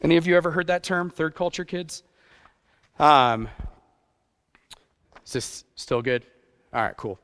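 Man giving a sermon, slightly high voice, chatty and playful, long hall reverb. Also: unhurried, pauses often, fairly bright tone, smooth. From grew up partly in Japan. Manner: conversational, rhetorical questions, playful asides. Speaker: youth pastor.

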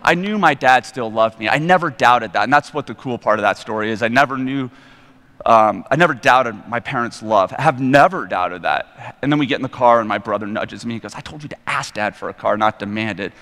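Man, forty, American accent, bright forceful voice, casual storytelling preacher, long hall reverb. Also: medium pitch, a little fast. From Colorado, United States. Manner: casual, self-deprecating, animated retelling of dialogue. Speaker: guest preacher. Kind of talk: informal testimony with personal storytelling.

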